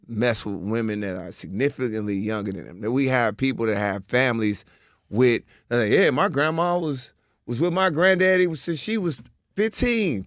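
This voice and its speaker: A man's voice: medium pitch, fairly bright tone, no echo, clean studio sound. A man co-hosting a casual podcast, reading out news items and hedging his takes. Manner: reads headlines, then hedges his opinions. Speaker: podcast co-host. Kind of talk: casual group chat.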